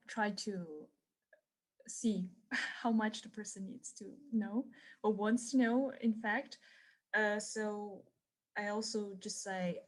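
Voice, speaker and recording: A woman from Kazakhstan speaking on a panel, explaining her views in a measured way. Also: medium pitch, darkish tone. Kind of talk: panel conversation.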